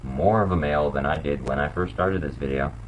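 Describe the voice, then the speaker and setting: A young man lowering his voice to play a boastful macho guy. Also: fairly quick, highs cut off, very noisy.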